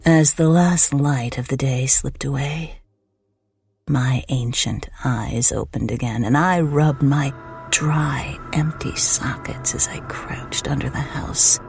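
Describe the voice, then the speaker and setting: A man voicing an ancient, malevolent witch in a horror story, gleeful and predatory, slow and deliberate, slipping into hushed whispers. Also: mid-range voice, creaky. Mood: sly, hushed. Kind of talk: dramatic horror story narration. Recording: music under the voice.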